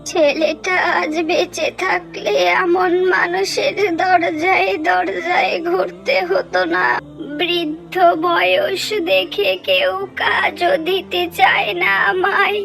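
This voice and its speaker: A woman voicing a frail, hungry old grandmother beggar in a cartoon, pleading slowly and tearfully. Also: very high voice, fairly even intonation, vocal fry, music in the background, full character voice, poor audio. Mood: tearful grief. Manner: slow, pleading, tearful lament. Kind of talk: moral cartoon voice acting.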